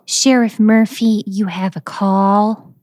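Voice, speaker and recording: A woman as slow, mellow, hesitant assistant. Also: medium pitch, steady tone, no room sound, clean studio sound, natural voice. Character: hesitant, meek female office assistant. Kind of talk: audio drama acting.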